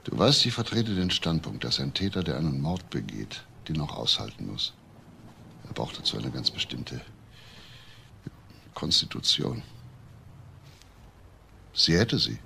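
An actor playing a calm, reserved middle-aged police investigator in a German crime radio drama, laconic and understated. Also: male voice, extremely low pitch, measured pace, gravelly, pauses often, slight background noise, full character voice. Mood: thoughtful, reserved.

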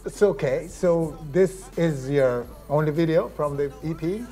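A man's voice: fairly high pitch, measured pace, warm tone, faint music behind. A man in his forties hosting a studio interview, asking friendly, upbeat questions. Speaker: TV show host. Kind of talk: TV entertainment interview. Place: TV studio set.

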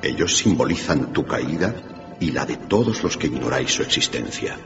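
An actor playing a grave, aging Christmas spirit in a radio drama, speaking slowly and solemnly. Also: male voice, a bass voice, lively intonation. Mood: grave warning. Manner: grave, slow, declamatory. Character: solemn dying giant spirit of Christmas, aging male ghost. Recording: dull radio recording with long reverb, music behind.

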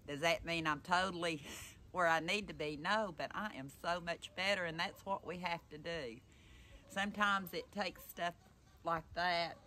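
A woman's voice: fairly low pitch, pushed and bright. A woman in her sixties with a Southern American accent, chatting casually to camera in a folksy, rambling way. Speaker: vlogger. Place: in a parked car and outdoors in a yard. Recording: noisy outdoor and in-car recording.

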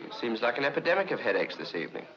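An actor playing a loyal, jovial young colleague in a 1950s film, polite and playfully pompous. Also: male voice, mid-range voice, very animated, racing, natural voice. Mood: cheerful, polite. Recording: muffled old film soundtrack, party chatter.

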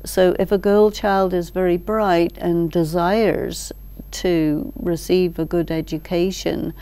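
An older woman explaining ideas thoughtfully as an interview guest, in short measured phrases with deliberate pauses. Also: fairly low pitch, creaky, warm tone. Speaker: expert guest, teacher. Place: large library hall, indoors. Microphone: lapel mic.

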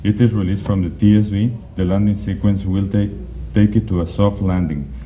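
A man giving a conference slide presentation, speaking haltingly with frequent restarts and fillers. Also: lowish voice, fairly even intonation. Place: conference hall. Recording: dull, lo-fi recording with faint noise.

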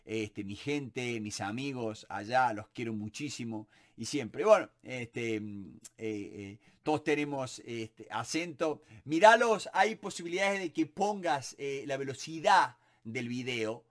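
A man in his forties with a Córdoba Argentine accent, answering viewer questions to camera in a home office in an animated, encouraging way, full of fillers. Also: medium pitch, unhurried, few pauses. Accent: Córdoba Argentine Spanish. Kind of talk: casual Q&A to camera. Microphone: webcam or camera at desk, close framing.

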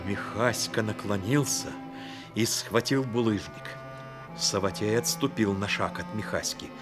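A man narrating a radio play as a dramatic storyteller, measured and expressive. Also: on the low side, loud music behind the voice.

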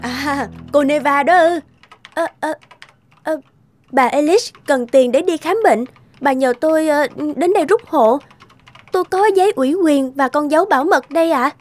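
A woman's voice: high-pitched, normal speed, natural voice. A woman voicing a timid, anxious young housemaid in a cartoon, polite and hesitant. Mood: nervous. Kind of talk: cartoon voice acting. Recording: faint music behind the voice.

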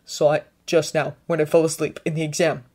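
A woman's voice: deep voice, level tone, brisk, a little mellow. A stubborn teenage wizard boy, impatient and defensive, raising his voice, as a woman reader plays him. The voice stays near the reader's natural register. It is a storybook reading. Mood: urgent.

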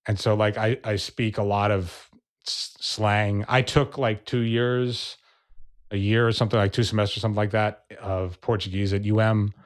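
A man speaking as a podcast guest, a relaxed storyteller in casual interview chat. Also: fairly low pitch, normal speed. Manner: relaxed storyteller, acts out quoted people. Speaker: percussionist and educator, podcast guest.

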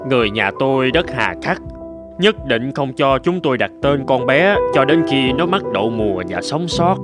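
A man's voice: medium pitch, animated, moderate pace, close to an everyday voice. A man playing an earnest, caring country doctor in a cartoon dub, serious and concerned. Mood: troubled. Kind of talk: cartoon dub with first-person storytelling. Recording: music under the voice.

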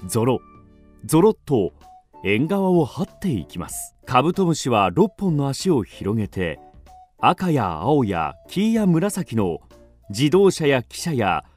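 A man's voice: medium pitch, very animated, slow, a little mellow, soft background music. A man narrating a children's radio drama as a scripted storyteller.

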